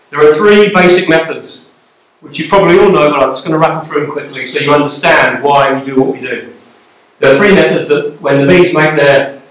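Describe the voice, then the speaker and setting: A man giving a live beekeeping talk to a club, conversational and checking in with the audience, recorded by a camera at the back of a darkened lecture room. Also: on the high side. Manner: conversational, checks in with "okay?" and "you know?". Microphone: far camera at the back of the room. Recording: very echoey room, camera far off.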